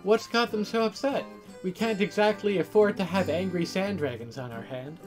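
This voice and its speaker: A woman's voice: mid-range voice, normal speed, a little mellow, faint music behind, natural voice. A woman voicing a spirited, playful younger sister who protests dramatically, in a visual novel read-along. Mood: worried, accusing.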